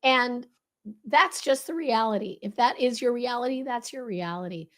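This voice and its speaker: A woman with a hoarse, crackly voice, briskly explaining a leadership framework as a podcast guest. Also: fairly high pitch. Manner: brisk, animated, coaching tone. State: hoarse, voice lost.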